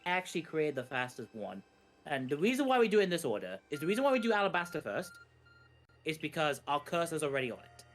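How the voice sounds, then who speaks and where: A man's voice: fairly high pitch, big pitch swings, quick pace, faint music behind. A man giving casual, jokey live commentary on a speedrun, often repeating and rephrasing himself.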